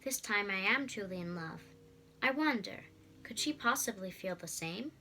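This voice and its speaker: A woman's voice: mid-range voice, lively intonation, normal speed, pushed and bright, slight background noise. A young female reader voicing an earnest, courtly young man in love in a storybook. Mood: wondering.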